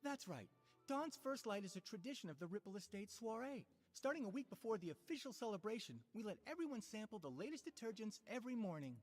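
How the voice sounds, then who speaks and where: A woman's voice: mid-range voice, big pitch swings, quick pace, darkish tone, faint music behind. A woman playing a proud, earnest, easily indignant character in a video game, explaining things emphatically.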